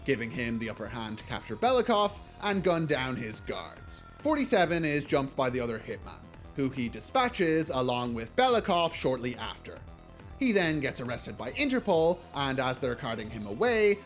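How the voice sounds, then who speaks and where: A man delivering scripted video essay commentary in a blunt, casually sardonic way. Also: mid-range voice. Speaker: video essayist reviewing films. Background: music.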